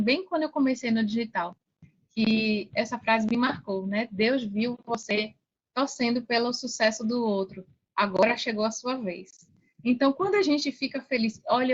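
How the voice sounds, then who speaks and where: Woman, Brazilian accent, high pitch, casual storytelling, emphatic repetition, lo-fi podcast sound. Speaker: podcast guest.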